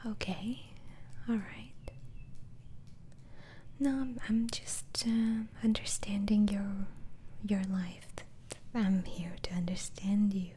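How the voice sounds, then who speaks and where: A woman whispering softly and breathily in an ASMR role-play, gently asking halting questions as an interviewing doctor. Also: medium pitch, steady tone, slight background noise.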